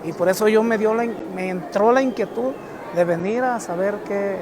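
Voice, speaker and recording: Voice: mid-range voice, moderate pace. A Mexican visitor giving a hesitant street-interview testimony, repeating words. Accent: Mexican Spanish. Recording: outdoor street interview, crowd noise.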